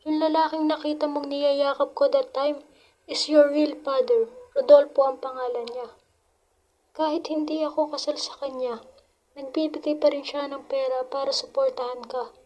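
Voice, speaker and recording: Woman as a high-voiced, remorseful Filipino mother. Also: level tone, normal speed, mellow, lightly acted, rough recording. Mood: sorrowful, tender. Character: remorseful, loving mother confessing in a farewell letter. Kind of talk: dramatic letter reading in radio drama.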